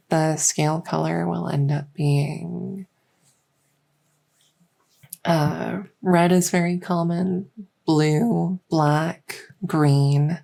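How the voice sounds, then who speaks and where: A woman playing a gentle, reassuring fairy-dragon clinician in an unhurried, slightly hesitant medical roleplay ASMR. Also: sits low, gravelly, heavily acted. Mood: calm, informative. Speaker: roleplay audio performer.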